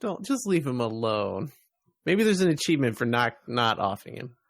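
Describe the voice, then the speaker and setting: A man co-hosting a podcast, chatting casually and in a low-key way about video games. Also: sing-song, normal speed, no room sound, studio quality. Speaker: podcast co-host.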